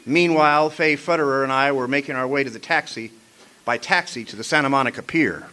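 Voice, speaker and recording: An actor playing a sardonic, hardboiled private eye narrating a radio detective parody. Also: male voice, medium pitch, brisk, sharp tone, a little hiss or hum, natural voice. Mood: dry narrating.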